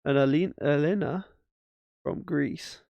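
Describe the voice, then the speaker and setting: Man musing over chess moves, gravelly. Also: a little high, slow pace, no room sound, highs cut off. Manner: musing, self-mocking, thinking aloud. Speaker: chess streamer. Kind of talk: casual chess commentary while playing.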